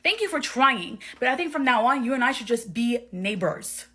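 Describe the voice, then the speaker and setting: A woman playing a proud, wounded young man in an amateur audio drama, blunt, emphatic and sweary, with a husky voice. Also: on the high side, very animated, normal speed, pushed and bright, full character voice. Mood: hurt, scornful.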